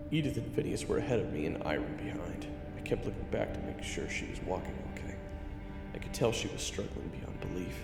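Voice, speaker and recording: A man plays a hot-headed, loyal swordsman telling his own fantasy tale. His creaky, dramatic voice moves widely in pitch, set in a long reverb. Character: Xander, hot-headed, loyal male hook-handed swordsman narrator. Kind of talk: first-person fantasy story narration. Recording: echoey, reverberant, music underneath.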